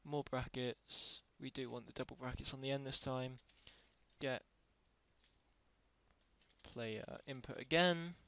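Slow, creaky-voiced man giving a tutorial. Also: medium pitch, fairly bright tone. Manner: halting, self-correcting while typing. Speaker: tutorial creator. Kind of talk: casual screen-recorded software tutorial.

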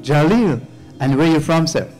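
A man's voice: a little high, expressive, normal speed, faint music behind, poor audio. An older man, a pastor, walking the pews of a church sanctuary with a handheld mic, greeting visitors by name and calling on the congregation to say amen. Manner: warm repeating of names, calls for amen.

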